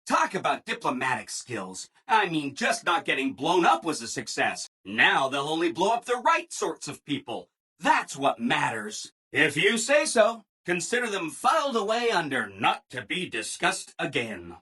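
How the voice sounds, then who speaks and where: Eager-to-please game robot, chipper, gushing, bright forceful tone, swooping pitch. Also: male voice, huge pitch swings, a little slow, breathy edge, full character voice. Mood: brightly matter-of-fact. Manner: chipper, exaggeratedly agreeable, gushing. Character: relentlessly cheerful, eager-to-please male robot assistant. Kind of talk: video game character dialogue.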